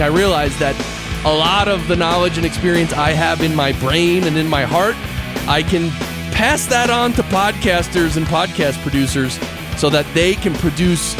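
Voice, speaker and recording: A man hosting a podcast in casual interview chat, playful and slangy, given to exclamations. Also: sits high. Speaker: podcast host.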